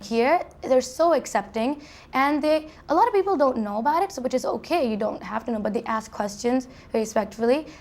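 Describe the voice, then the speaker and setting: Young woman from saudi arabia, fast chatty interview answers, kinda high voice. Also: expressive, darkish tone. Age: young adult. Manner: chatty, many restarts and "like" fillers. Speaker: college student guest. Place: university dorm.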